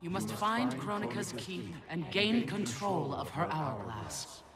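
An actor voicing an ancient godly being who proclaims solemnly. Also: male voice, sits high, expressive, measured pace, a loud crowd. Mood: grave. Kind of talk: game cutscene acting.

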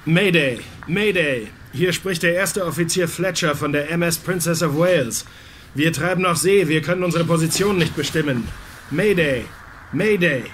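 An actor playing a haughty, easily angered first officer of a ship in a radio drama, sharp and authoritative. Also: male voice, fairly high pitch, moderate pace, a little hiss or hum, close to an everyday voice. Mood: urgent. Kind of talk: German radio drama acting.

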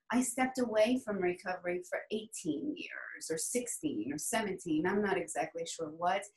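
A woman in her forties from New Orleans speaks candidly into her webcam on a video call, her voice sitting in a middle pitch. The room around her adds a noticeable echo. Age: 40s. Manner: candid, conversational, sprinkles in "y'all". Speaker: club speaker. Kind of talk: prepared personal speech. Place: home room, indoors. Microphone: laptop webcam on a video call.

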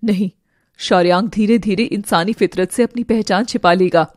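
A woman's voice: mid-range voice, moderate pace, a little mellow, dry recording, clean studio sound, voice pushed down, lightly acted. Lady doing a sly young fairy villain in a cartoon, intense n dramatic. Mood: alarmed, urgent. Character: vengeful, cunning young female fairy under a spell.

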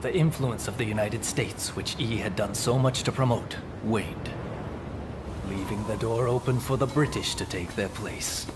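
A man narrating a samurai game as a solemn chronicler, in a measured, formal way. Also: on the low side, noisy background, natural voice. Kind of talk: scripted historical narration. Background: music.

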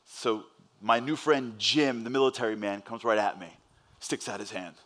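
A man with a New York accent giving a fast-paced inspirational speech on a large stage, telling a story and acting out the dialogue. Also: on the low side, fairly bright tone. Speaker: motivational speaker.

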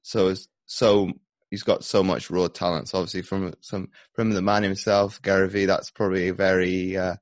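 A man's voice: sits low, flat delivery, normal speed. A man hosting a podcast, chatting and asking rambling questions in a casual interview. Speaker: podcast host.

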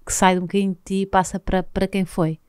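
Smooth-voiced woman host. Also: medium pitch, flat delivery, fast, no echo, clean studio sound. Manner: warm prompting questions, frequent short backchannels. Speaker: podcast host. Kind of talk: casual conversational interview. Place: cosy caravan studio set. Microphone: studio mic on a boom arm, with headphones.

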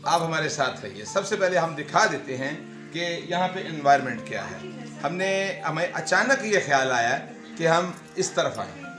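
A man's voice: a little high, animated, measured pace. A man in his forties, chatting to viewers while cooking on a wood fire outdoors in a village yard, recorded on the camera mic. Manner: chatty, addresses viewers directly. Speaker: cooking vlogger. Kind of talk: casual cooking demonstration. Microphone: camera mic, handheld by a cameraman. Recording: background music under the voice.

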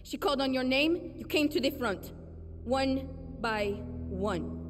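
A woman's voice: high voice, lively intonation, measured pace, big-room sound, slight background noise. An actress playing a blunt, defiant young woman who leads a rebel band with rousing, profane speeches. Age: young adult. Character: young woman guerrilla leader, fiery and defiant. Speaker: video game character. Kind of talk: game cutscene acting.

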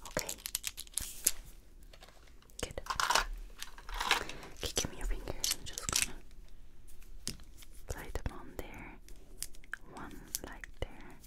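A young woman speaking in a breathy, hushed whisper in short instructional phrases, as in an ASMR roleplay, close to the microphone. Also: slow, long pauses. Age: young adult. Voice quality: breathy, mostly unvoiced whisper. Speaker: ASMR creator playing a stylist in a makeover roleplay.

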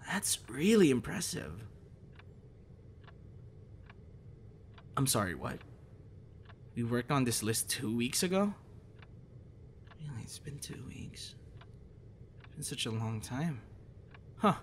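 A man playing a gentle, encouraging young voice actor in a soft, hesitant, reassuring ASMR boyfriend roleplay. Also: lowish voice. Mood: curious, impressed. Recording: noticeable background noise.

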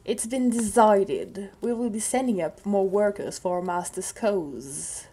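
Girl doing a cold bossy demon lord for a comic dub, husky voice, mocking, drags out buzzy s sounds. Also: medium pitch, slow pace, mellow. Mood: cold, smug.

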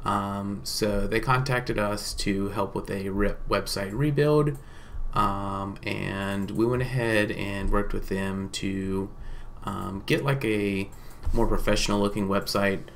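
A man's voice: fairly low pitch, steady tone, takes it slowly, slight background noise. A man in his thirties giving an informal marketing case study to camera in a casual, hesitant way. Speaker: marketing company presenter.

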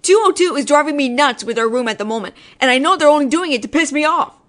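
Woman voicing a sarcastic, higher-pitched nagging ghost woman. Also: expressive, fast, a bit bright. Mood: irritated. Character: judgemental tsundere female ghost haunting an apartment, secretly caring. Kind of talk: scripted audio roleplay, spoken to the listener.

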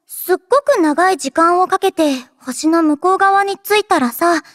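A woman's voice: very high voice, moderate pace, no room sound, studio quality. A woman voicing a cheerful, childlike android girl in an anime game, playful and boastful in manner. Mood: earnest, wistful. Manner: playful, childlike, refers to herself by name. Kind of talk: anime game character voice lines. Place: dry studio.

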